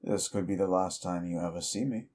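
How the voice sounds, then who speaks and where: A man voicing an ancient, petty male demon who mocks a mortal in a slow, deep drawl, in audio roleplay. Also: fairly even intonation, darkish tone. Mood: taunting.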